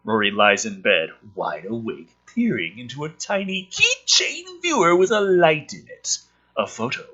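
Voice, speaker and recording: A man's voice: medium pitch, big pitch swings, measured pace, sharp tone, slightly rough, dull audio. A man giving casual, sweary, sarcastic commentary, rambling between asides and exaggerated dramatic readings from a script. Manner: rambling, profane. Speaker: host reading and commenting on a film script draft.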